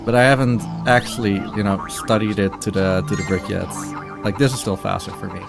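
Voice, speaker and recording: A man's voice: fairly low pitch, steady tone, brisk, gravelly. A man giving casual, rambling live commentary as he speedruns a game, with a self-deprecating manner. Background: game music.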